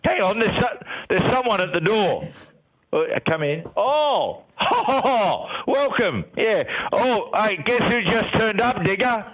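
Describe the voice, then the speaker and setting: A man's voice: high voice, lively intonation, takes it slowly, fairly bright tone, exaggerated voice, poor audio. A man playing a brash, crude, mocking radio boss with an Australian accent, roasting his colleagues on air. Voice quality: boisterous, roasting, swaggering delivery. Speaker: comic character on a radio show. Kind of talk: comedy radio sketch.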